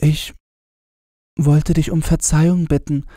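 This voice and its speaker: A man's voice: on the high side, flat delivery, takes it slowly, mellow, no echo, clean studio sound, voice pushed up. A man voicing a proud, snippy yet tender queen in a storybook fairy tale. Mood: apologetic. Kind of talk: storybook character voice.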